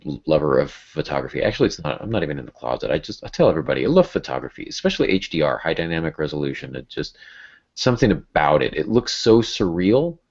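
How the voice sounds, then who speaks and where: Male podcast host, very deep voice, informal and illustrative delivery, slightly muffled lo-fi audio. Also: very animated, fast talker, slightly rough. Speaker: podcast host and writing teacher. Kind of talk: casual podcast discussion.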